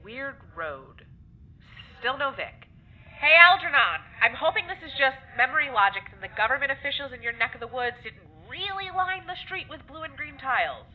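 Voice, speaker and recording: A woman's voice: high-pitched, animated, normal speed. An actress playing a sarcastic, abrasive and unrepentant woman in an audio drama, delivered dryly and bluntly. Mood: tentative. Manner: dry, sardonic, blunt. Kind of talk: naturalistic audio drama acting. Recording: muffled lo-fi sound with clear noise.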